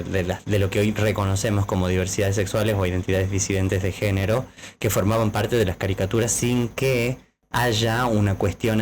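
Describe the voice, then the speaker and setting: A man's voice: on the low side, darkish tone. A man, explaining things to his co-host in a casual podcast. He talks in long, lecturing stretches padded with fillers. A faint background noise runs under his voice.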